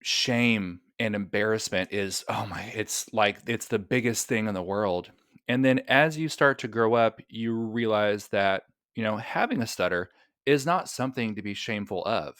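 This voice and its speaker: A man's voice: lowish voice, normal speed, fairly bright tone, dry recording, studio quality. A man who is a comedian and podcast guest, speaking reflectively with a light stutter. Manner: reflective, light stutter, frequent agreeing backchannels. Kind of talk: casual podcast interview.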